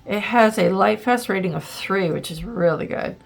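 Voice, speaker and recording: A woman's voice: mid-range voice, moderate pace, gravelly, a little hiss or hum. A woman hosting a casual art tutorial, a little congested and plugged up, chatting and thinking aloud as she works, with lots of ums and likes. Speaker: art channel host.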